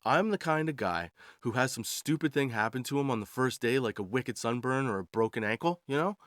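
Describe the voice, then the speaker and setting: A man's voice: medium pitch, lively intonation, fairly quick, a bit bright, dry recording, clean studio sound. A man in his forties hosting a podcast, telling personal stories in a wry, slangy, self-deprecating comedic style. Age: 40s. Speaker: podcast host. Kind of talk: comedic personal storytelling monologue.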